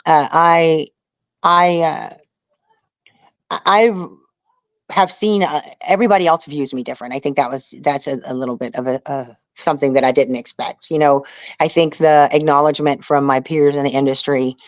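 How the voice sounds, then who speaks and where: A woman's voice: low voice, steady tone, normal speed, dry recording. A middle-aged American woman gives a casual, earnest interview. She rambles conversationally and leans on "you know" as she goes. The sound is close and dry, a little muffled on top. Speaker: interview guest, outdoor survival show contestant and angler.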